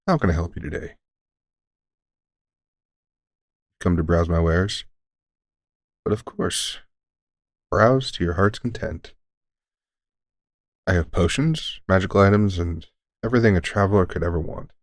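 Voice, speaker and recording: A man playing a deranged centuries-old elf shopkeeper who is courteous, formal and unsettlingly calm, in an audio roleplay. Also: deep voice, moderate pace, pauses often, dry recording, studio quality. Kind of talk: scripted audio roleplay addressing the listener.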